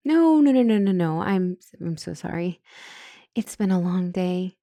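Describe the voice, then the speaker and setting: A woman's voice: fairly low pitch, expressive, a little slow, warm tone, no echo. A woman playing a flustered, smitten couples counselor who stumbles and corrects herself in a comedic audio roleplay. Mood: flustered, pleading. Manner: stammering, awkwardly self-correcting. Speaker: doctor and counselor.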